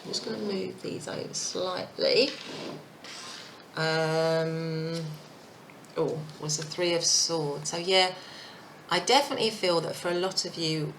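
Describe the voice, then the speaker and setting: A woman giving a casual tarot reading, thinking aloud with many fillers, her voice croaky and husky. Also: low-pitched, a bit bright, slight background noise, low-quality recording. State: croaky voice, clearing throat. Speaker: tarot reader.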